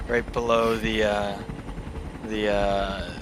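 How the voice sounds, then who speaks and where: A man's voice: lowish voice, level tone, takes it slowly. A man playing a tabletop game, chatting casually and hesitantly as he searches for words. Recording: clear background noise behind voice.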